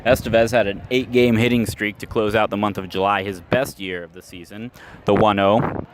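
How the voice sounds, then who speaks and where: A man doing radio play-by-play of a baseball game, giving a measured call with stats between pitches, recorded live at an outdoor ballpark. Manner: steady, measured call with stats between pitches, rising sharply on big plays. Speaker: play-by-play announcer. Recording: live ballpark recording with clear crowd noise.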